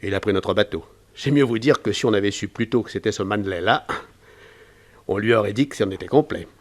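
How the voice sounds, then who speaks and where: An actor playing a resigned, talkative ship's security officer, conversational and wry, in a 1960s French radio serial. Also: male voice, lowish voice, fairly quick, natural voice.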